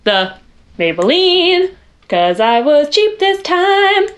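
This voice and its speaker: Lively, chatty young woman vlogger. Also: very high voice, takes it slowly. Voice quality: loud voice. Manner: chatty, rambling, self-deprecating, talks to viewers while working. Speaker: makeup vlogger. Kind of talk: chatty makeup tutorial. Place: her bedroom at home.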